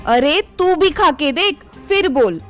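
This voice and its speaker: A woman playing a dramatic, scheming, complaining Indian mother-in-law in an animated family story. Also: sits high, slow pace, soft background music. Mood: outraged. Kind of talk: animated Hindi moral story dialogue.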